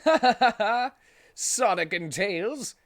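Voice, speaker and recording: A man voicing a gloating, theatrical male villain with loud, declaiming delivery in a story reading. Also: high voice, expressive, slow, pitched above the performer's own voice, full character voice. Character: gloating, theatrical male villain laughing over his trap.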